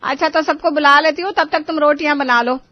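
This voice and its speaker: A woman voicing an exasperated, scolding mother-in-law in an animated family story. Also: high-pitched, fairly quick, no echo, highs cut off, pitched above the performer's own voice, natural voice. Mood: calling out. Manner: scolding, emphatic. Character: exasperated mother-in-law scolding her lazy grown sons.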